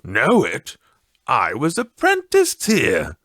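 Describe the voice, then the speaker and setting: A man's voice: mid-range voice, wildly swooping pitch, slow, a bit bright, no room sound, studio quality, voice pushed up, exaggerated voice. A man voicing an earnest, emotional old man in a dramatised storybook reading. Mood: excited. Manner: earnest, emotional exclaiming and questioning.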